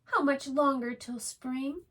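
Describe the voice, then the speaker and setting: A woman voicing an excited, curious little male owl in a storybook, eager and full of wonder. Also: high-pitched, takes it slowly, voice pushed up.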